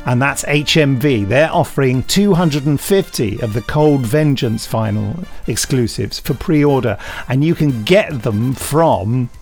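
A British man with a gravelly voice and a dry, wry manner, chatting casually as a podcast co-host. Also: mid-range voice, unhurried, few pauses, darkish tone, soft background music.

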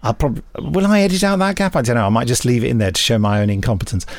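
A man's voice: medium pitch, big pitch swings, fast. A man co-hosting a podcast, reading announcements and bantering in a tongue-in-cheek, self-mocking way. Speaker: podcast co-host. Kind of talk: jokey scripted announcements and banter.

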